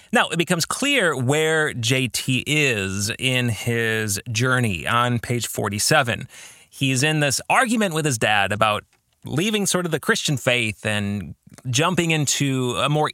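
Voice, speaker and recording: An American man hosting a reflective book discussion podcast, phrasing his thoughts deliberately as he addresses listeners. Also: mid-range voice, sharp tone, dry recording, studio quality. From American Midwest. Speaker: podcast host, author and pastor.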